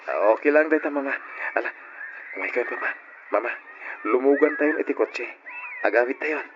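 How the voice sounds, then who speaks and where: An actor playing a patient, burdened grown son who cares for his ailing parents, speaking gently and earnestly in an old-time radio drama style. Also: medium pitch, moderate pace, background noise, lightly acted. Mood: gentle, patient. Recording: dull lo-fi radio drama recording.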